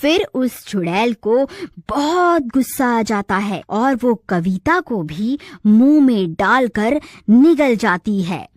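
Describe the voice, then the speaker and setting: Slow, high-voiced woman storyteller. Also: very animated, a little mellow, no room sound, clean studio sound. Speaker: narrator. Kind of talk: scripted story narration for a children's cartoon.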